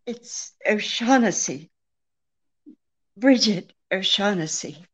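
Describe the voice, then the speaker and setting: A woman plays a mysterious damsel-in-distress client in a vintage radio detective drama. She speaks extremely slowly at a medium pitch, halting between phrases, with a pleading throb that sounds helpless and secretive. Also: animated, a bit bright, husky, exaggerated voice. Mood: nervous, confessing. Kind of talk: old-time radio detective drama re-creation.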